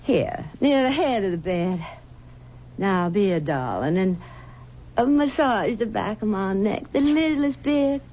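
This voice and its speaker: A woman's voice: mid-range voice, lively intonation, warm tone, a little hiss or hum, natural voice. An actress playing a possessive, aging mother who coaxes and stammers, in a 1970s radio drama. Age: older. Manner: coaxing and possessive, halting with stammers. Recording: dull 1970s radio broadcast.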